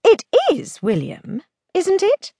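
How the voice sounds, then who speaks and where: A woman voicing a pert, witty young society lady in an audiobook, arch, playful and sharp-tongued. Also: very high voice, wildly swooping pitch, takes it slowly, no echo, studio quality, pitched above the performer's own voice, exaggerated voice. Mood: playful teasing.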